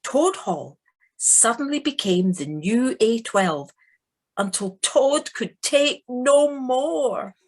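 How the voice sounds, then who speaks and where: Slow, lively woman storyteller. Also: a little high. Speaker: narrator of audio play. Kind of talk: scripted dramatic storytelling. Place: living room, recorded over video call.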